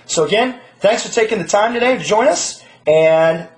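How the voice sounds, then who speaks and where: Man, 30s, fairly high voice, informal product demo presenter. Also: lively intonation, moderate pace. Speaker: presenter, small business product manager. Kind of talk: informal product demonstration tutorial.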